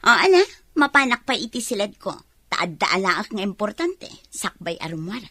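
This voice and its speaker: A woman's voice: mid-range voice, big pitch swings, moderate pace, pushed and bright, breathy edge, dry recording, full character voice. An actress playing a haughty, scheming younger woman in an Ilocano radio drama, speaking curtly and imperiously. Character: haughty, scheming younger woman, cruel village soap villainess.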